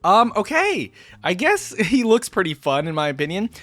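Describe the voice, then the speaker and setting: A man's voice: high-pitched, very animated, normal speed, bright, forceful tone, dry recording. A man hosting a gaming reaction video, talking casually with many "like"s and "um"s and reading ability text aloud. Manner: curious, chatty, many likes and ums, laughs often. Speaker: gaming reaction channel host.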